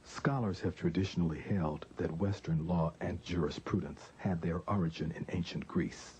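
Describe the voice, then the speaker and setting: A man narrating a documentary from a script in a deliberate, emphatic way. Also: deep voice, darkish tone, muffled recording, a little hiss or hum.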